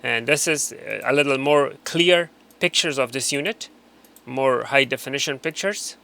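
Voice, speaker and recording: A man's voice: medium pitch, normal speed, creaky, bright, forceful tone. A man giving an informal, unscripted product walkthrough as an instructor, often repeating and rephrasing to explain.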